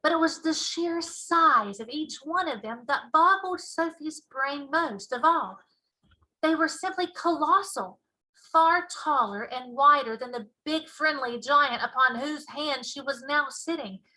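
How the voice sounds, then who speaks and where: A woman teacher reading a children's storybook aloud in expressive narration with deliberate, emphatic phrasing. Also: sits high, poor audio.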